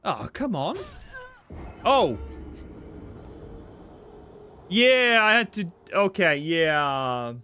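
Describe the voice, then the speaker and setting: Lively man streaming a game. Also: fairly high pitch, slow, a little hiss or hum. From Norway. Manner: joking, chatty, reads chat aloud. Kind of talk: casual live-stream chat while gaming.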